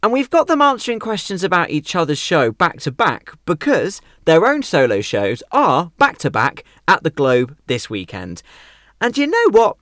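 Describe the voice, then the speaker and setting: A male radio presenter handles interviews and links between segments. His pitch moves around in a lively, animated way, and the sound is dry with hardly any room on it. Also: moderate pace, no room sound. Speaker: radio host.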